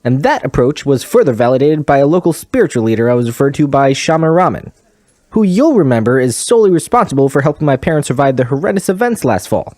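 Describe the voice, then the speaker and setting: Give the role of a smug, sanctimonious small-town news anchor to a man acting it. He swings his pitch with lively self-importance in a mellow, unforced tone. It is styled as a comedy local radio broadcast. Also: quick pace, no echo, natural voice. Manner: pompous, sanctimonious.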